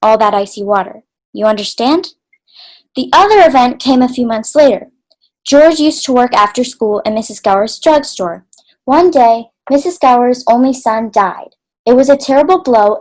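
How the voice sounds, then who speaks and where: A woman's voice: on the high side, animated, moderate pace, darkish tone, close to an everyday voice, low-quality recording. A woman playing a matter-of-fact senior angel, narrating instructively in an old-time radio play. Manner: storytelling, instructive. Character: matter-of-fact female senior angel telling a case history.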